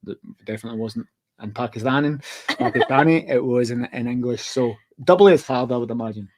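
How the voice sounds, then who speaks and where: A man's voice: medium pitch, a little fast. A Scottish man hosting a podcast interview, joking and teasing his guest between questions. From Scotland. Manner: joking, self-deprecating banter with fillers. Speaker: podcast host. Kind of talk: casual interview chat.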